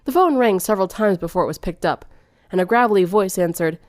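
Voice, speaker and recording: A woman's voice: mid-range voice, expressive, quick pace, a little mellow, no room sound, studio quality. A woman reading fan fiction aloud as scripted third-person narration.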